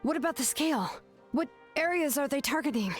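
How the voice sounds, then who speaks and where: A woman playing a young, lively, earnest warrior ally in a video game, with an animated delivery. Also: sits high, a little fast. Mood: alarmed. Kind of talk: English video game voice acting. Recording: game music behind the voice.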